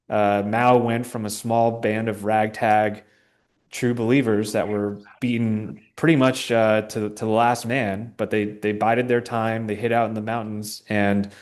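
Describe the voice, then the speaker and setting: A young man speaking as a guest, giving informal political talk in answer to questions, somewhat halting as he searches for words. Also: lowish voice, steady tone. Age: young adult.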